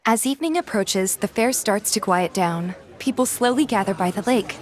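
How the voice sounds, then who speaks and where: A woman voicing a shy, soft-hearted young heroine in a game fan dub, earnest in both narration and dialogue. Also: mid-range voice, normal speed, close to an everyday voice. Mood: reflective narration.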